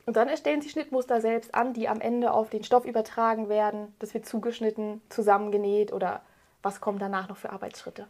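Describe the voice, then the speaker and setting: A woman with a slightly high-pitched voice works as an interviewer. She delivers prepared introductions and questions in an orderly interview style. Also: little pitch movement, brisk, a little mellow.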